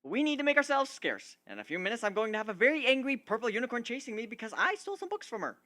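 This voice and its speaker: A man's voice: very high voice, sing-song, quick pace, bright, forceful tone, no echo, pitched above the performer's own voice, heavily acted. A man voicing a cocky, scheming male griffin in a dramatic story reading, talking in a boastful, glib way. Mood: urgent. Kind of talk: dramatic reading of fan fiction.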